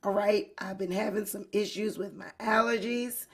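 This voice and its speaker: A woman hosting her own online channel, talking casually to her viewers in a hoarse voice, addressing them as y'all and you guys. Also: mid-range voice, fairly even intonation, normal speed. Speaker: YouTube host. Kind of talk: casual livestream commentary.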